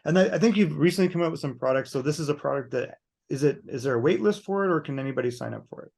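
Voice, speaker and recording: A man's voice: on the high side, racing, creaky, a little mellow. A man hosting a podcast, interviewing a guest in a chatty, affirming way and laughing easily. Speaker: podcast host.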